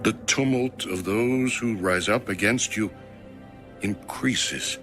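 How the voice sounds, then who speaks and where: A man reading scripture aloud in a solemn, formal, measured and dramatic style, as an audio Bible narrator. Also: fairly low pitch. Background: background music.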